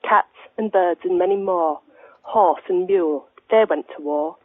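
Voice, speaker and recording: A woman's voice: fairly low pitch, unhurried, no room sound. An actress playing an earnest amateur poet dinner lady who speaks solemnly and sincerely on a spoof radio phone-in. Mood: solemn, declaiming. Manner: solemn, declamatory rhyming recitation. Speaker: phone-in caller. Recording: tinny narrow telephone line, dry.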